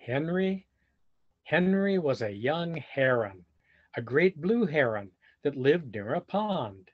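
A man with a husky voice reading a children's storybook aloud expressively and deliberately, pausing to explain things simply to young listeners. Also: a little high, sing-song. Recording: dull lo-fi video call audio.

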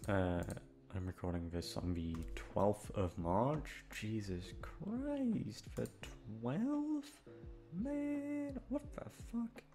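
A man's voice: medium pitch, expressive, very slow, warm tone, soft background music. A man chatting casually to his listeners in a rambling, awkward, self-deprecating way, swearing freely. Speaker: audio roleplay voice actor, channel host. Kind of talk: casual rambling outro chat.

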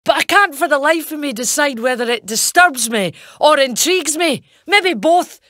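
A woman's voice: high-pitched, expressive, moderate pace, fairly bright tone, no echo, studio quality. A woman playing a blunt, musing, teasing criminal in a video game. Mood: blunt, intrigued.

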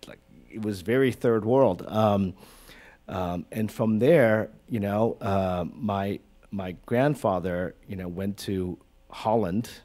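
A man in his forties from Hong Kong speaks on a small auditorium stage, his voice sitting a little low. He talks animatedly, full of fillers and restarts, into a close stand mic. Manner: animated, gestures broadly, many fillers. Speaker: guest, creative director. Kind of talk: onstage conversation. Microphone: stand mic close to mouth.

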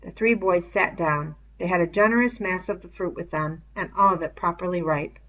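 A woman's voice: fairly low pitch, moderate pace, fairly bright tone. A woman reading a novel aloud as scripted narration, on a dull lo-fi recording. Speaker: audiobook reader.

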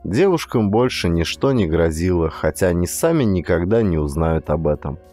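A man's voice: low voice, animated, moderate pace, dark tone, soft background music. A man giving dramatic scripted narration as an audiobook narrator.

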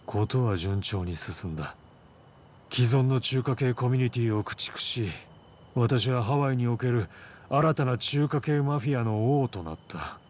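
An actor playing a proud, wounded Chinese mafia boss in a game cutscene, a middle-aged man speaking in a formal, solemn, measured way. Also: medium pitch, animated, a little mellow, slight background noise, natural voice. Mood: somber recounting. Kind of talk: Japanese video game cutscene acting.

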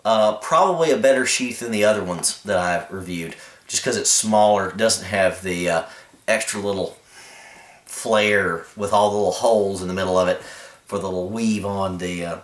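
Low-voiced man casually reviewing a knife. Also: a bit bright, echoey room. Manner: laid-back, rambling, many "uh"s, blunt opinions. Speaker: knife reviewer, channel host. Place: indoors, camera filming a carpeted floor.

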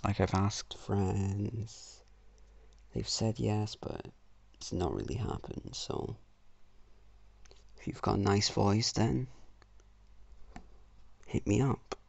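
Gravelly, husky teenage boy, slow drawl. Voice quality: gravelly, husky, flat-sounding voice. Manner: low-key, rambling, self-mocking. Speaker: YouTuber. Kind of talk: casual channel intro voice-over.

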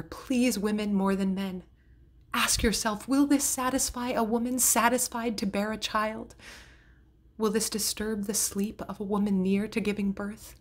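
A woman reading poetry aloud in a measured, deliberate way, pausing between phrases. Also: mid-range voice. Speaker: poetry reader. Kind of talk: poetry recitation.

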